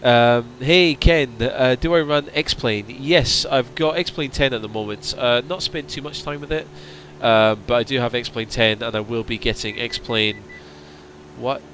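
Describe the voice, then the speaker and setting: A man streaming a flight simulator, chatting casually and answering viewers' questions while his voice starts to strain and go hoarse. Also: mid-range voice, measured pace, fairly bright tone, a little hiss or hum. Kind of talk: casual livestream chat.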